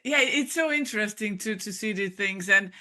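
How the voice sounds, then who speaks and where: A woman with a Hungarian accent speaks as a guest on a podcast. She is earnest and encouraging, sometimes restarting her words. Her voice is bright, at a middle pitch. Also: expressive, normal speed. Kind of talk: casual interview chat.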